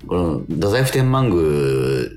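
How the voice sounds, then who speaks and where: Male radio co-host, low voice with lively swings, halting anecdotal chat, music underneath.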